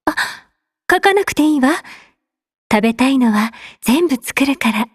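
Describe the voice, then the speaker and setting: A woman voicing a refined, caring and devoted young woman in a video game, with a soft, graceful, composed delivery. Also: high voice, lively intonation, fast, slightly rough, no echo, studio quality. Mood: warm, caring. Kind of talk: scripted Japanese game character voice lines.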